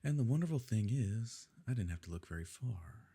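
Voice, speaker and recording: Guy doing a rich evil noble for asmr roleplay, really deep n husky, smug, suave, talks down to you. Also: lively intonation, brisk, dark tone, heavily acted. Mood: sly, self-satisfied. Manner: suave, condescending, leisurely formal phrasing. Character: smug, wealthy evil nobleman, condescending and calculating. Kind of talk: intimate ASMR roleplay drama.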